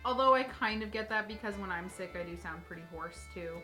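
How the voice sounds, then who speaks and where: A woman's voice: mid-range voice, fairly quick, pushed and bright. A woman hosting a casual, comedic fairy-tale retelling, chatting to viewers with wry asides. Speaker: host, storyteller. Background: faint music.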